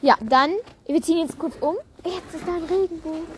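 A woman's voice: extremely high pitch, brisk, soft background music. A female co-host chatting casually with a friend on a podcast, with a giggly manner. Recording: noisy outdoor recording in rain.

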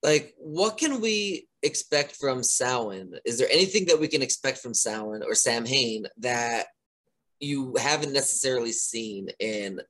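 Chatty man interviewing, loud voice. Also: mid-range voice, animated, measured pace, rough recording. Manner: chatty, laughs often, many fillers. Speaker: interviewer, indie horror filmmaker. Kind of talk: casual conversational interview.

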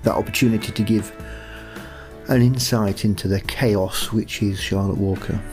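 Male, lowish voice, relaxed conversational interview style, dryly teasing, light music underneath. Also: normal speed. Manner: dry, teasing humour. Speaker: audio drama writer and sound producer. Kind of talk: casual chat interview.

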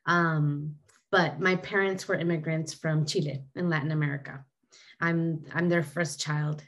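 A woman's voice: low voice, steady tone, unhurried, fairly bright tone. A young woman from California's Bay Area, a podcast guest on a call, chatty and thoughtful with lots of "like". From San Francisco Bay Area, California. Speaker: interview guest. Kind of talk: casual chat. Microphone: remote call.

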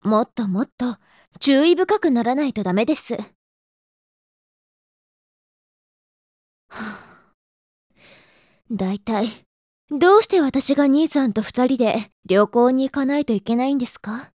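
A woman's voice: a little high, lively intonation, unhurried, a little mellow, no room sound, clean studio sound. A woman playing a prim know-it-all little sister in an audio drama, lecturing her hapless older brother in polite, exasperated speech. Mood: exasperated, scolding. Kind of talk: Japanese audio drama acting.

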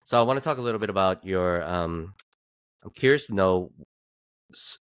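A man with a low voice hosts a casual podcast, stumbling into questions and chiming in with short agreements. His voice sounds dry and close, with no room around it. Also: lively intonation, smooth, no room sound. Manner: quick backchannels, fumbles into questions. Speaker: podcast host. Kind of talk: casual interview.

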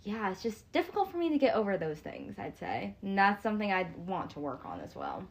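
A young woman in a casual chat, supportive and a bit hesitant, speaking in halting phrases. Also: medium pitch, wildly swooping pitch, creaky.

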